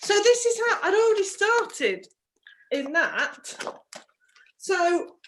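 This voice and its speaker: High, lively woman co-host. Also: takes it slowly, a little hiss or hum, poor audio. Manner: earnest, giggly, rambling explanations. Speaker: podcast co-host. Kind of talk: casual chat.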